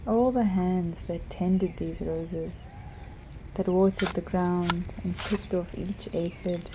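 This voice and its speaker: Woman narrating real slow and soft, low flat voice, kinda whispery at times. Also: background noise. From South Africa. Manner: soft, hushed, drifting into whispers.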